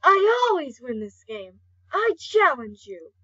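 A woman voicing a friendly, fatherly skeleton gentleman in an amateur comic dub, easygoing and playful. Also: high-pitched, sing-song, unhurried, highs cut off, voice pushed up, exaggerated voice. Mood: playful challenge.

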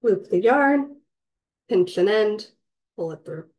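Woman, hoarse from a cold, slightly raspy, patient crochet instructor, counts stitches aloud. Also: mid-range voice, expressive, slow pace, darkish tone. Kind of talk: step-by-step craft tutorial. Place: indoors, online class.

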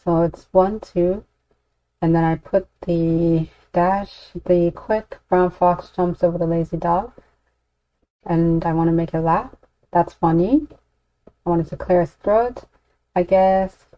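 A dry, slightly muffled voice-over of a woman presenting a software demo. Her voice sits a little low. She talks informally and hesitantly, working through each step aloud. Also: fairly even intonation, takes it slowly, no room sound. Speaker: tutorial presenter. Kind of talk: unscripted software demo walkthrough. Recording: dull, lo-fi, dry close recording.

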